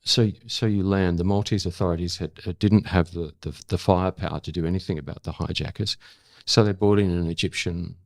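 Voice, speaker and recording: Older man, very low pitch, reflective storyteller, halting and self-correcting, close dry sound. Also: fairly even intonation, vocal fry, no room sound. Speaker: interview guest, former journalist and actor.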